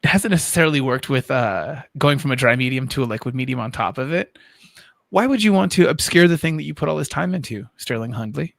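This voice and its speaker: A man's voice: mid-range voice, lively intonation, a little fast, creaky, no echo, studio quality. A man hosting a livestream in casual chat, putting conversational questions on behalf of the audience. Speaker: livestream host and moderator.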